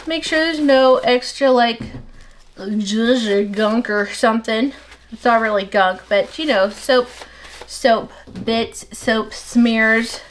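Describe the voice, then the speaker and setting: A middle-aged woman soap maker with a slightly nasal voice, chatting casually and rambling as she works, talking fast without pausing for breath. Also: on the high side, slight background noise. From United States. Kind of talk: casual chat while working.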